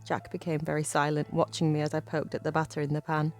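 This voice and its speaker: A woman's voice: low-pitched, monotone, fast, darkish tone. A woman narrating a scripted horror story in the first person. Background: faint music.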